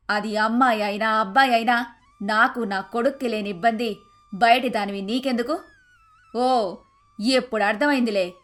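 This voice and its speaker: A woman's voice: fairly high pitch, a bit bright, natural voice. A woman voicing a protective, firm mother-in-law in an acted moral story.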